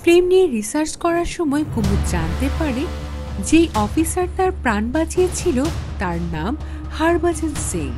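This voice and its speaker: Female narrator for horror tales; high-pitched, highly expressive dramatic read over music.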